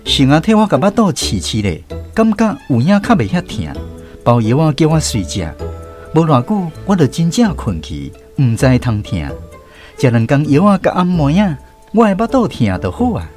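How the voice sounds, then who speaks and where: A man's voice: on the high side, wildly swooping pitch, moderate pace, a little mellow, soft background music. A man with a Taiwanese accent narrating a scripted first-person story for a radio drama.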